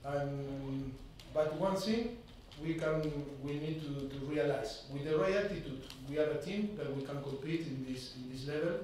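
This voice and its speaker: Halting football manager, echoey room. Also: male voice, on the high side, darkish tone, a little hiss or hum. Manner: measured, halting second-language English with many fillers. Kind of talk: post-match press conference. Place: press conference room. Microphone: desk microphone. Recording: echoey room, mic at a distance.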